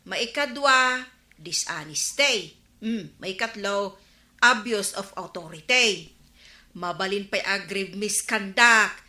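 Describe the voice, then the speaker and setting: Slow, bright-voiced village informant. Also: female voice, medium pitch. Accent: Ilocano. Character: gossiping village woman bringing grave, urgent warnings. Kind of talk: Ilocano radio drama acting. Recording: roomy echo.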